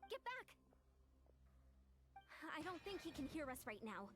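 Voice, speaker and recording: A woman voicing a confident, decisive female warrior leader in a video game, brisk and commanding. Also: high-pitched, sing-song. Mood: urgent. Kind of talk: video game character dialogue.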